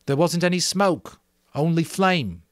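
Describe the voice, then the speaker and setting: A man's voice: fairly high pitch, lively intonation, measured pace, no echo, clean studio sound, voice pushed up. A man voicing an earnest, authoritative schoolboy chief with an urgent way of talking, in a storybook reading. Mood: disappointed.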